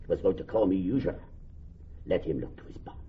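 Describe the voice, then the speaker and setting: A man's voice: low voice, animated, fast, slight background noise. A wronged, vengeful moneylender in a classical radio drama. His rhetorical delivery leaps and plunges through a broad range. The sound is a little muffled. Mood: bitter, scornful. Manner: declamatory, rhetorical, building in repetition. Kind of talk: classical Shakespearean radio acting.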